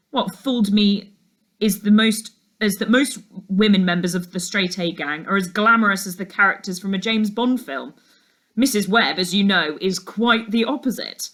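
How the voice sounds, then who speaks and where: A woman's voice: medium pitch, a bit bright, close to an everyday voice. An intense male detective from a storybook, acted out by a woman reader. He is burdened and obsessive, and he speaks with earnest, dramatic emphasis in a mid-range voice. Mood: amazed, excited.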